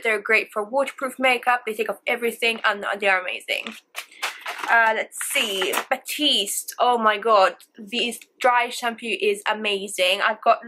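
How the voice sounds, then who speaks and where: A gushing, rambling woman in her twenties, a little stuffy from a cold, with a fairly high voice. She chats into a camera mic in her bedroom, with steady background noise underneath. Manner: chatty, gushing, rambling with fillers. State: slightly congested from a cold. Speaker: beauty vlogger. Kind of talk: casual product review chat. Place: bedroom with shelves, indoors.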